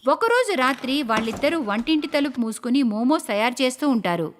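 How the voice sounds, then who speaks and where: High, animated woman storyteller. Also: a little fast. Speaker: narrator. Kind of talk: scripted moral story narration. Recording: clear background noise.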